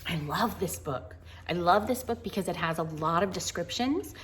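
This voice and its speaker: A woman reading a picture book aloud to children in an expressive, wonder-filled way, with chatty asides and hushed moments. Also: on the low side, moderate pace, slight background noise. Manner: animated, wonder-filled, addressing young listeners, dropping to hushed tones.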